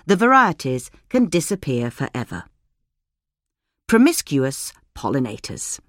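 A woman's voice: lowish voice, no echo, clean studio sound. A woman reading a scripted article aloud as a narrator.

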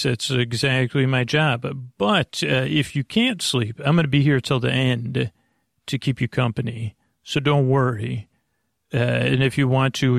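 An American man hosting a sleep podcast, talking in a meandering, hesitant, reassuring monologue with a gravelly voice. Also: mid-range voice, no echo, studio quality. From United States. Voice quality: creaky, gravelly. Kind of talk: rambling casual monologue.

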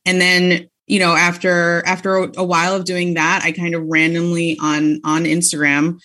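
A woman's voice: on the low side, completely flat delivery, moderate pace, sharp tone. A woman in her thirties, a guest author in a casual interview, talking in a chatty way with many fillers, restarts and laughs.

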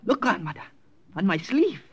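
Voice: mid-range voice, big pitch swings, moderate pace, slightly rough. An actor playing a zealous, suspicious thirteen-year-old boy, proud and earnest in a radio drama. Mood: proud, eager. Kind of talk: 1950s radio drama acting. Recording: old-time radio recording.

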